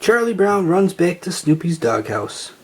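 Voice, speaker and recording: Children's read-aloud narrator, husky voice, very lively pitch, expressive storytelling. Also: measured pace. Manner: animated, acts out dialogue.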